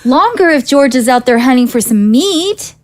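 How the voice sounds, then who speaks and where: A woman voicing a spirited teenage girl. Her voice is high and swings widely, earnest and at times defiant. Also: normal speed. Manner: lively, earnest, a bit defiant. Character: spirited teenage daughter, scavenger.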